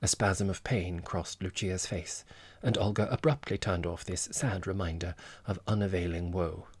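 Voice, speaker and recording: A man's voice: low-pitched, fairly even intonation, moderate pace, breathy edge, no echo. A man reading literary fiction as a scripted audiobook narration.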